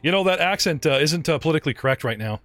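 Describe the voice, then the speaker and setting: A man's voice: on the high side, lively intonation, racing, fairly bright tone, dry recording, soft background music. A man running a tabletop game as game master, joking and rambling with his players, often restarting his sentences. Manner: playful, rambling, stumbling restarts.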